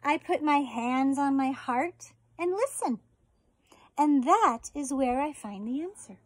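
High-pitched American woman reading to children. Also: lively intonation, slow pace, no echo. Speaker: elementary school teacher reading a story. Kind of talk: children's picture book read-aloud. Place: outdoors on a residential deck.

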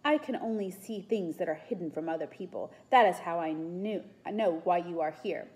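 A woman voicing a menacing, mocking male soothsayer in a mask, with a hoarse voice and a short temper, from a storybook. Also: fairly low pitch, normal speed, darkish tone, natural voice. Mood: tart, mocking.

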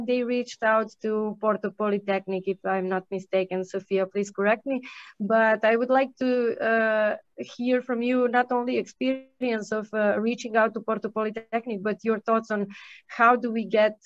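A woman's voice: a little high, fairly even intonation, normal speed, dry recording. Woman moderating an online panel. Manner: hesitant with frequent fillers. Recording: dry dull video call audio.

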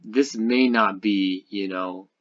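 A man giving casual, unscripted gaming commentary as a video host, speaking haltingly as he thinks aloud. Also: fairly low pitch, fairly bright tone, muffled recording.

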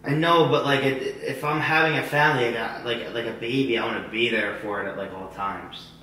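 Man, 22, bright tone, halting and rambling; recorded far off on a hidden camera in a very echoey room. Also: medium pitch, fairly even intonation, slight background noise. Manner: hesitant, rambling, many "like"s and restarts. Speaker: vlogger's boyfriend. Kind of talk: casual chat. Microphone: hidden camera across the room.

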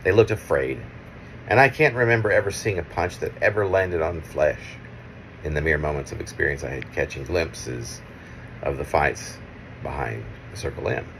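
A middle-aged man reading his own poem aloud in halting, measured pieces, with casual asides. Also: a bass voice, fairly bright tone, slight background noise, poor audio. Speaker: poet reading own work. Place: small room at home, indoors. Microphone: phone at arm's length.

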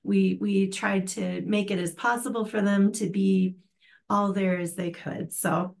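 A woman's voice: mid-range voice, fairly even intonation, measured pace, vocal fry. An older woman hosting an online class, making friendly, chatty announcements over a video call from a home office. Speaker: program host.

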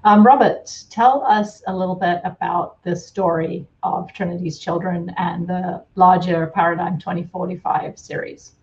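A woman's voice: fairly low pitch, steady tone, normal speed, few pauses, a little mellow, lo-fi sound. A middle-aged woman hosting a conversational interview over a video call from home. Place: indoors at home, room with bookshelves, on a video call.

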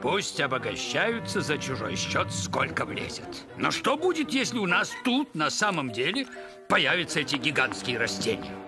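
A man playing a grumbling, scheming, domineering rich tycoon in an animated film. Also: on the high side, moderate pace, music in the background. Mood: worried, indignant. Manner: grumbling, commanding. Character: domineering wealthy tycoon, middle-aged man, scheming and impatient.

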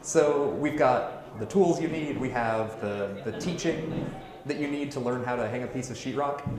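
A man's voice: mid-range voice, normal speed. A man speaking as a charity organizer in a promotional interview style. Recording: very echoey room with chatter behind.

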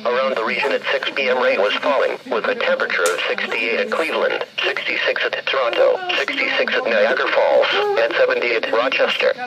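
A man's voice: medium pitch, fairly even intonation, fairly quick, few pauses, crowd noise. A synthesized male announcer reading a scripted weather report impersonally over weather radio. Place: played through a handheld radio indoors. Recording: muffled, noisy weather radio broadcast.

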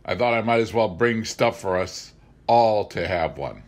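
A man's voice: fairly low pitch, animated, a little slow. A man voicing a shy, mild logger in a memoir reading, with plain, understated speech. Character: shy, mild hard-drinking male logger.

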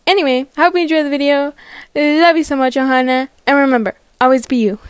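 A woman's voice: high-pitched, fairly even intonation, fast talker, dry recording, clean studio sound. A young woman chatting casually in a voiced roleplay vlog, bubbly and slangy with dramatic exclamations. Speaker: story channel creator voicing a character.

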